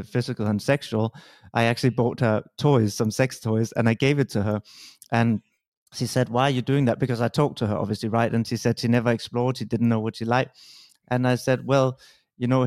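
Male interview host, mid-pitched, reflective anecdote teller, dry close mic. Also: a little mellow, dry recording. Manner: reflective, tells personal anecdotes with quoted dialogue. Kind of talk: conversational interview.

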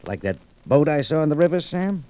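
An actor playing a tough, wry adventurer in an old radio detective drama, with punchy hardboiled delivery. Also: male voice, a little high, sing-song, brisk, mellow. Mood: wry, matter-of-fact. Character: tough, wry male adventurer narrating his exploits. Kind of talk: 1940s-50s radio detective drama acting. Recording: slightly noisy old radio recording.

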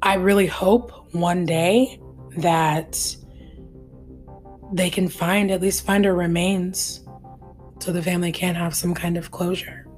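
A woman's voice: lowish voice, little pitch movement, slow pace, long pauses, darkish tone, soft background music. A woman co-hosting a casual true-crime podcast, talking earnestly and chattily and laughing easily. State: hoarse, losing her voice. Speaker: podcast co-host.

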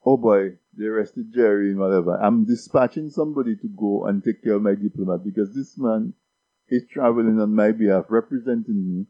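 A man's voice: on the low side, brisk, no echo. Man from Dominica, casual talk guest, stammering repeats, dry lo-fi recording. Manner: repeats words while building points. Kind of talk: casual discussion. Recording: dull lo-fi sound.

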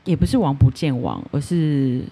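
A woman's voice: very deep voice, sing-song, normal speed, warm tone, slightly rough. A woman with a Taiwanese accent talking casually and candidly as a podcast guest. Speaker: podcast guest, audio creator.

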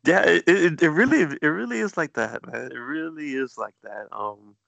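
Gravelly, bright-voiced man chatting casually. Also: fairly high pitch, moderate pace, dry recording. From southern United States. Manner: rambling, searching for words. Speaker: podcast co-host. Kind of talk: casual chat.

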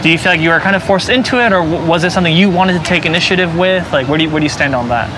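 A man in his twenties interviewing, asking questions with quick restarts. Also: fairly high pitch, background noise. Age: 20s. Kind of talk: casual interview.

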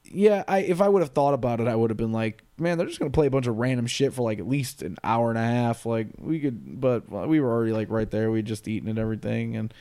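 A man's voice: mid-range voice, fast, hardly any pauses, warm tone. A man in his late twenties co-hosting a podcast, chatting casually, rambling, laughing often and swearing freely. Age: late 20s. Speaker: podcast co-host. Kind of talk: casual chat.

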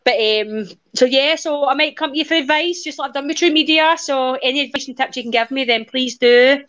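Woman, podcast guest, outspoken and chatty, higher voice, muffled remote audio. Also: fairly quick, fairly bright tone. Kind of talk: casual chat.

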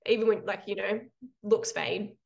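Young woman health coach on a podcast, frank and reflective, mid pitch, tells stories with some energy. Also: moderate pace, gravelly. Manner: frank, reflective, animated storytelling. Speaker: personal trainer and health coach guest. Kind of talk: conversational podcast interview.

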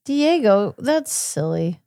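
Female podcast co-host. Casual conversational delivery, average pitch, very little room sound. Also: sing-song, takes it slowly, creaky, dark tone, dry recording, studio quality. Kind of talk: casual true crime podcast chat.

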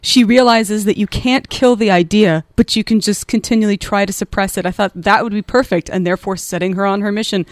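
A woman co-hosting a casual movie review chat, opinionated and animated. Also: medium pitch, a little fast, few pauses, dry recording, clean studio sound. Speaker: podcast co-host.

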